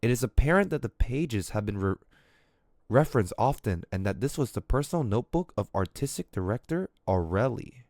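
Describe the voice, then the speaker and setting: A man's voice: medium pitch, expressive, brisk, darkish tone, dry recording, studio quality. A man livestreaming a game, reading in-game text aloud and commenting in a casual, slangy way. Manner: casual, slangy, mild swearing. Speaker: streamer.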